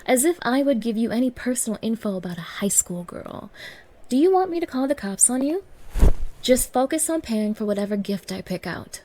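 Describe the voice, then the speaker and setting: A woman's voice: a little high, big pitch swings, a little fast, warm tone, slight background noise. A woman playing a spoiled, contemptuous young gold digger in an ASMR roleplay, talking in a condescending, mocking, bossy way. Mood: angry, demeaning. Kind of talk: ASMR roleplay audio acting.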